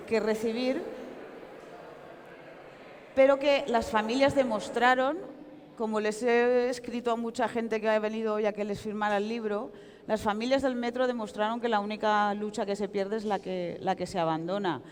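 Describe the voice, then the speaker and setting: Valencian woman in an echoey hall. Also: medium pitch. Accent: Valencian Spanish. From Valencia, Spain. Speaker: journalist and author interviewed. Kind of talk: public interview, spoken account. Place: large reverberant hall at comic convention. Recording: echoey hall with long reverb.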